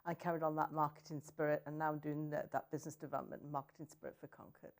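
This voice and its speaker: A woman's voice: low-pitched, little pitch movement, racing. A middle-aged woman from northern England with a Sheffield accent, chatting as a guest in an interview in an upbeat way. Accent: Sheffield English. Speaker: guest, city economic development director. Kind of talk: casual interview chat.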